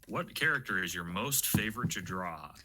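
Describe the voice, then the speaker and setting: Low, very sharp-edged male voice, casual. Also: animated, moderate pace. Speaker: interviewer. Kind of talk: casual chat.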